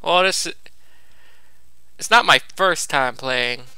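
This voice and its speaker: Laid-back young male gamer, slow and creaky, dry close mic, casual commentary. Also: on the high side, animated, pushed and bright, dry recording. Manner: laid-back, thinking aloud. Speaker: gamer recording a walkthrough.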